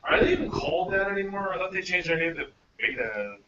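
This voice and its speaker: A man's voice: high voice, sing-song, fast talker, lo-fi sound, very noisy. A man hosting a podcast in casual group chat, joking and laughing often. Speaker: podcast host. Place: small studio, guys around a table.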